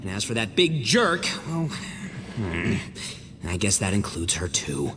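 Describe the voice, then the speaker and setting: Wildly animated, brash gang boss. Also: male voice, on the low side, a little slow, slight background noise. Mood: magnanimous. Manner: swaggering, theatrical. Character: brash, boastful young gang boss, goofy and good-natured. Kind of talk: video game cutscene acting. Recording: reverberant game dialogue with music behind.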